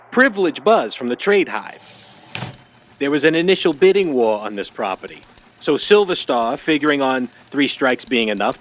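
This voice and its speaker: Man playing wry old-Hollywood noir fixer, lively delivery. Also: mid-range voice, a little hiss or hum, natural voice, rough recording. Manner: wry, slangy, hard-boiled patter. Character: wary fast-talking old Hollywood talent agent, man. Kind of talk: 1940s noir audio drama acting.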